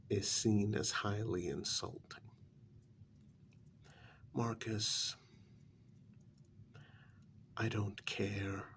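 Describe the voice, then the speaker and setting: A man playing a blunt, put-upon vampire counselor in a soft-spoken ASMR role-play, breathy and often near a whisper, halting and formal. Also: fairly low pitch, slight background noise.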